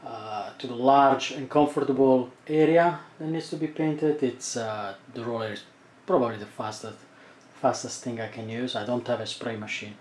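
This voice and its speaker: A man's voice: mid-range voice, normal speed, a little mellow, recorded from a distance. A man in his thirties, a home cockpit builder, explaining each step of a DIY job as he works. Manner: explains steps plainly as he works. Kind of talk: DIY tutorial narration. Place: indoor room at home. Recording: roomy echo, voice off-mic.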